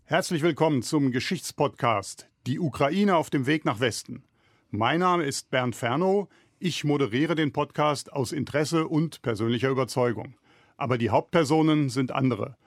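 A man moderates a history podcast from a university studio. He speaks calmly and plainly at a middle pitch. The sound is dry and close. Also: normal speed, dry recording. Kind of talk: casual spoken introduction.

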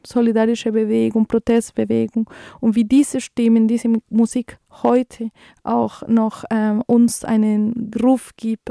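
A woman's voice: a little high, warm tone, no echo, clean studio sound. A woman from Colombia in her thirties, a native Spanish speaker with a Colombian accent, hosting a community radio show in a hesitant way full of fillers and restarts. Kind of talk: community radio commentary and news reading.

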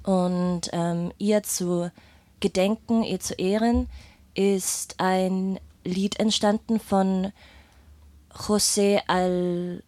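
A woman's voice: medium pitch, fairly even intonation, drawn-out words, mellow, no room sound. A woman chatting casually as an interview guest, telling her story in a hesitant, thoughtful way. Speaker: guest performer, singer and guitarist. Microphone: microphone.

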